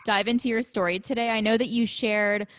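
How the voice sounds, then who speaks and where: A woman hosting a podcast, introducing her guest and giving him soft, encouraging backchannels. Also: fairly high pitch, fairly even intonation, brisk, no echo, studio quality. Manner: upbeat, with frequent soft backchannels. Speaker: podcast host. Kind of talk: podcast interview.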